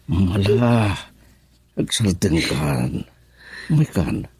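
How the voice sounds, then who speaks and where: A man's voice: deep voice, very animated, drawn-out words, darkish tone, breathy edge, no room sound, full character voice. An actor playing a patient middle-aged father with an Ilocano accent in a radio drama. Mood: consoling, concerned. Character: patient, consoling older father. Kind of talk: Filipino radio drama acting.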